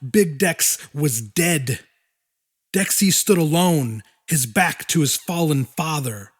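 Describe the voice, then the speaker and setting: A man narrating a horror audiobook in a dramatic, deliberate style with weighty pauses. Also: on the high side.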